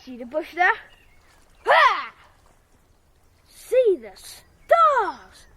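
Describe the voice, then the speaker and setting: High-voiced, excitable lad. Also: female voice, extremely slow, dry recording, full character voice. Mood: boastful, tipsy. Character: boastful, tipsy English country lad. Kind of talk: radio drama acting. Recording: noisy outdoor ambience with animals.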